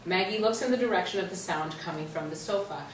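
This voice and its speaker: A woman's voice: fairly low pitch, quick pace, far from the mic. Woman, narrator, scripted stage directions, roomy echo, faint background noise.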